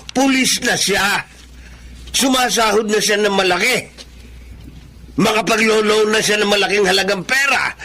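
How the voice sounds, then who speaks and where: A man's voice: high-pitched, sharp tone, a little hiss or hum, exaggerated voice. A man playing a stern, reproachful father. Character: stern father scolding his grown son. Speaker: actor. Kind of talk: Filipino radio-style drama acting.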